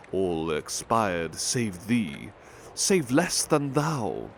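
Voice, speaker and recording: Slow, soft-spoken man reading poetry. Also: medium pitch, a little hiss or hum. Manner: soft, lingering, expressive recitation. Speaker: ASMR butler roleplay reader.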